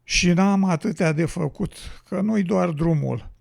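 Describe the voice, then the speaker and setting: Guy acting tired sick road worker in a radio play, really croaky husky high voice, kinda plaintive. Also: a little mellow, exaggerated voice. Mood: grumbling. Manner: plaintive, musing, storytelling. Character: weary, ailing male road builder, scornful of nature. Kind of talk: educational radio drama monologue.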